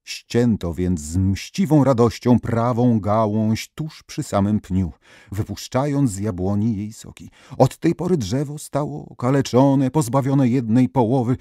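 A man narrating scripted folk tales as a storyteller, expressively and with dramatic pauses. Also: mid-range voice, dark tone, dry recording, clean studio sound.

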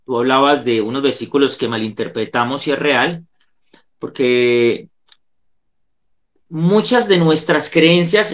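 A man's voice: fairly high pitch, fairly bright tone. A middle-aged man with a Colombian accent, speaking earnestly in a preacher-like way as he gives a devotional talk over a video call. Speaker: psychologist giving a talk. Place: indoor room, on a video call. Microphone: video call microphone.

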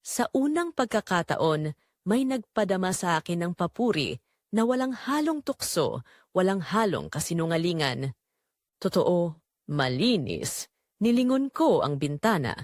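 Woman narrating drama with lively intonation. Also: lowish voice, dry recording, studio quality. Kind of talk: dramatic first-person scripted narration.

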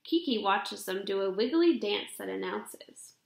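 A young adult woman reading a children's picture book aloud in an expressive, deliberate storybook delivery, recorded in a room at home. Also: mid-range voice, gravelly. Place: small indoor room at home. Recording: roomy, echoing home webcam recording.

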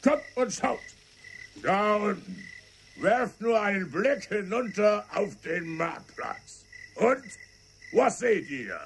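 An actor playing a commanding American scout who speaks in a theatrical way, in a radio play. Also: male voice, sits high, big pitch swings, slow pace, vocal fry, slight background noise, exaggerated voice. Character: gruff American scout Mister Monsoon, commanding.

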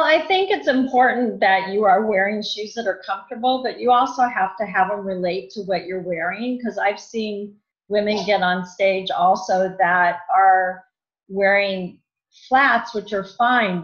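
A woman guest giving casual style advice in conversation, explaining things in a matter-of-fact way. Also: measured pace. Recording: dull, muffled low-fidelity audio.

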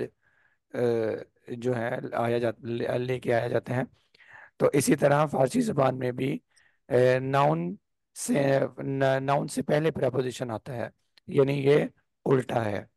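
Male teacher with a sore throat, gravelly and unhurried, on a dry video call. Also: mid-range voice, a little mellow, dry recording. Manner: slow explanatory, frequent throat clearing. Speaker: Persian teacher. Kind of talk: online class lesson.

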